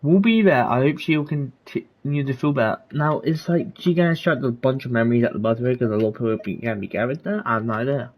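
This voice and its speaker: A game streamer giving casual commentary, informal and rambling, thinking aloud in a husky voice. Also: mid-range voice, fast talker, hardly any pauses, dull audio.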